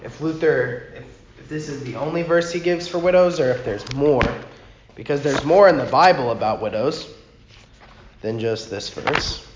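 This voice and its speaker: Hoarse man teaching softly. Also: medium pitch, moderate pace. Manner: rambling, self-correcting, talks softly. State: hoarse, lost his voice. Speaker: pastor teaching a class. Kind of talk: informal church class teaching. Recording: muffled, echoey room with faint noise.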